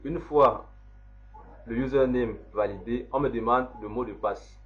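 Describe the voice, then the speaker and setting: A man, slowly walking through a software setup step by step as an instructor. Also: medium pitch. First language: French. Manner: slow, step-by-step explaining. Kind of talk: screen-recorded software tutorial. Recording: dull lo-fi voice recording.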